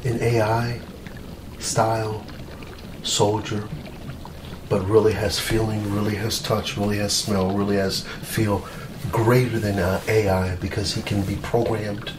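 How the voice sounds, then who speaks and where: A man playing an eager, self-important mad scientist doctor in an ASMR roleplay, musing aloud in halting short phrases. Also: fairly low pitch, level tone, close to an everyday voice. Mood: proud, excited. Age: middle-aged. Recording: roomy, distant mic with loud noise.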